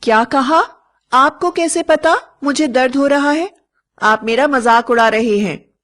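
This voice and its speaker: Woman playing uneasy young college girl, high-pitched, emphatic, sharp-tongued, earnest, storybook character. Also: unhurried. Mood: startled, indignant.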